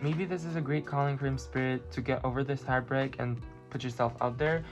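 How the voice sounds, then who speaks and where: A card reader who is not a native English speaker, giving a spiritual pick-a-card reading and often pausing to search for words. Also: mid-range voice, fairly even intonation. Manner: halting, many fillers, searching for words. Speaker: tarot and oracle card reader. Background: clear background music.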